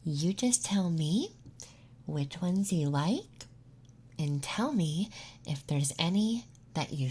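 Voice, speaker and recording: A flirty, teasing emo femboy classmate character in an ASMR roleplay, speaking softly and breathily close to the mic and often dropping into a whisper. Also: mid-range voice. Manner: teasing, flirty, unhurried with pauses. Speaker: ASMR content creator performing a roleplay. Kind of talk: ASMR roleplay, intimate soft-spoken and whispered. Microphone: close ASMR microphone.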